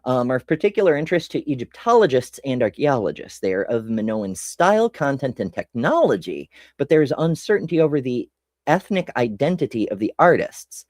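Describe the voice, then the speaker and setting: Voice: medium pitch, sing-song, brisk, a little mellow, no echo, clean studio sound. An American host giving casual history commentary, rambling and self-interrupting with casual swearing. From United States.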